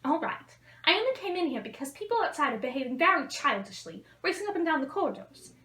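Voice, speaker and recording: A woman acts out a young schoolgirl witch from a storybook, pitched high. She is prim, bossy and sniffy, hectoring whoever listens. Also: sing-song, quick pace, bright, forceful tone, roomy sound, full character voice.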